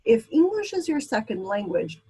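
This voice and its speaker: A woman's voice: fairly high pitch, very animated, moderate pace, warm tone, husky. A woman from Brazil teaching a class in a casual, chatty lecture style, with frequent ums and asides. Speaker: instructor.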